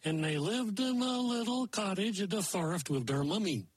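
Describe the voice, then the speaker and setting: A folksy moose spins a fairy tale in playful broken grammar, coining silly words as he goes, as a man puts him on. The voice sits fairly high and a little husky. The sound is dry and close. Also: expressive, normal speed, no room sound. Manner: playful, invents silly word forms. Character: folksy male moose storyteller, mangles words. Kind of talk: oral folktale telling.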